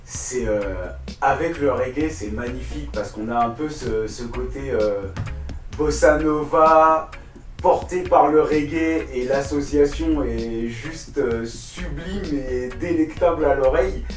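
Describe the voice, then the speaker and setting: A man's voice: medium pitch, unhurried, far from the mic. A man interviewing a singer, asking questions and praising in flowery words. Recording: roomy, off-mic, music behind.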